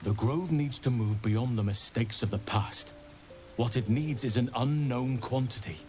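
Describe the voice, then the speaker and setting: An actor playing an earnest, learned druid burdened by regret, speaking in measured, formal phrases in a fantasy video game. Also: male voice, mid-range voice, mellow, close to an everyday voice. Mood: measured, resolute. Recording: game music behind.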